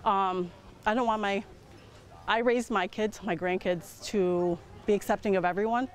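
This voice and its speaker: A man's voice: sits high, fairly even intonation, normal speed. A middle-aged or older man with an American accent giving spontaneous interview remarks outdoors. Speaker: interviewed local resident.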